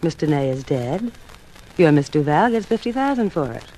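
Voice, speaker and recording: A woman's voice: low voice, very animated, moderate pace, mellow. An actress playing a flirtatious, businesslike female insurance agent with coy patter in a 1950s radio drama. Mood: brisk, businesslike. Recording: noisy 1950s radio recording.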